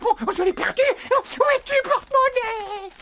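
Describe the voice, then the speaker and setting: A performer voicing a frantic, childlike little cartoon creature, full of exclamations, over loud music. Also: very high-pitched, moderate pace. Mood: panicked.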